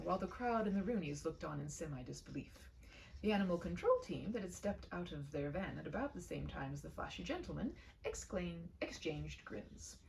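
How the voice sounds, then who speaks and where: A woman reading a humorous short story aloud as an expressive storyteller. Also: lowish voice, vocal fry, recorded from a distance, slight background noise, low-quality recording.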